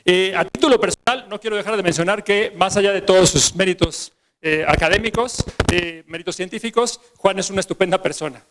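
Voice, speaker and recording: A man's voice: high voice, fairly quick, a bit bright. A man formally introducing a guest speaker at a university colloquium, with frequent fillers. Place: university auditorium.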